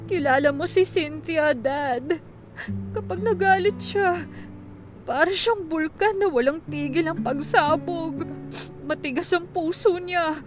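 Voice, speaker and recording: A woman playing a hurt, soft-hearted young twin sister in a radio drama, plaintive, reluctant and emotional. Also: very high voice, takes it slowly, music in the background. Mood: tearful, remorseful.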